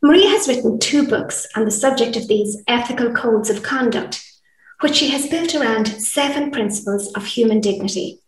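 A woman's voice: fairly high pitch, normal speed. A woman hosting an interview show, reading a polished introduction and asking questions with enthusiasm. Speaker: podcast host. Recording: roomy sound, distant from mic.

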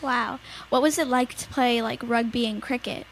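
A woman with a high voice asks questions as a show host. She reacts with quick, eager exclamations. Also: gravelly, slight background noise.

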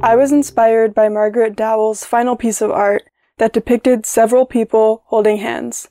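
A woman's voice: on the high side, fairly even intonation, moderate pace, dark tone, soft background music. A young woman from Alabama reading a scripted testimonial as a reviewer. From Alabama, United States.

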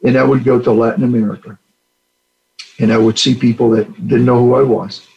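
A man's voice: mid-range voice, flat delivery, a little fast, gravelly, a little mellow, lo-fi sound. An older man from Puerto Rico, a retired general, earnestly telling stories in an interview and acting out the dialogue. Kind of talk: storytelling interview answers.

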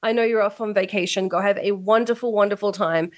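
A woman hosting a talk radio show, hoarse as she loses her voice, playful and teasing with her co-host. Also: medium pitch, steady tone, fast talker, no echo. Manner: playful, teasing, laughs often. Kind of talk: casual radio chat.

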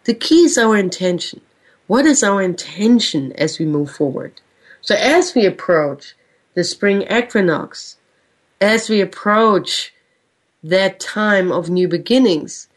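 A woman with a German accent hosting a spiritual radio show, speaking in a gentle, earnest way with slow, drawn-out phrases. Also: medium pitch. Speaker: spiritual radio host and channel. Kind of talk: reflective spiritual talk.

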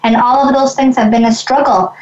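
A woman's voice: high voice, steady tone, a little fast, darkish tone, echoey room, highs cut off. A woman from Mexico, a guest in an interview, speaking reflectively and earnestly, often restarting her sentences. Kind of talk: conversational interview.

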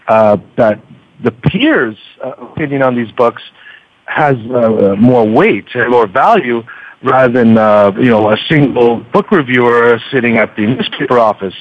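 A man talking as an interview guest in a conversational, halting way, often repeating words mid-sentence. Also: mid-range voice, no room sound. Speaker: podcast guest, book reviewer. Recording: dull, lo-fi radio audio.

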